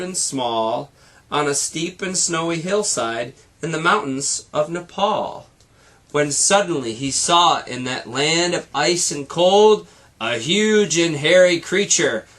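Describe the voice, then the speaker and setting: Bright-voiced man reading a picture book aloud. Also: fairly high pitch, expressive, takes it slowly. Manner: rhythmic, dramatic delivery with playful asides. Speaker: teacher reading aloud to students.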